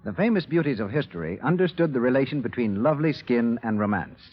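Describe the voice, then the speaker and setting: A man hosting a 1930s radio show, delivering scripted announcements and sponsor pitches with formal showmanship. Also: animated, fast talker. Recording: muffled vintage 1930s radio recording.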